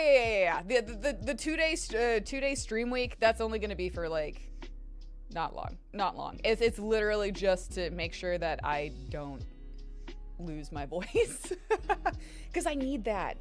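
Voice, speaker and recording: A woman's voice: medium pitch, big pitch swings, normal speed. Chatty woman streamer with a strained voice. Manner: chatty, rambling, swears casually. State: strained, tired voice. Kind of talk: casual chat with stream viewers. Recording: faint music behind voice. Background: faint music.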